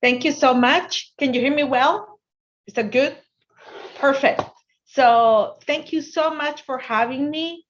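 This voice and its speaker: A woman's voice: high-pitched, slow pace, dull audio, slight background noise. A woman from Ecuador with a Spanish accent, a native Spanish speaker, presenting a finance workshop online and often restarting her sentences. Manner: earnest, restarts sentences, asks if the audience can hear.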